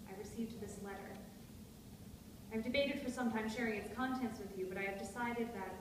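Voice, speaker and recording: A woman's voice: fairly high pitch, natural voice. A woman playing an anxious, melodramatic widowed stepmother with frail nerves in a dramatic stage reading of a period play, speaking in formal, ornate phrases. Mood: fretful, grave. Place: hall at a live staged reading. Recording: echoey noisy hall, distant microphone.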